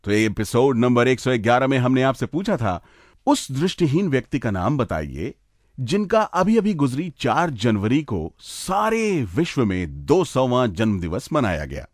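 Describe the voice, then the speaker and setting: A man's voice: mid-range voice, wildly swooping pitch, a little slow, dry recording, clean studio sound. A man hosting a radio show in a conversational, playful and slightly theatrical way.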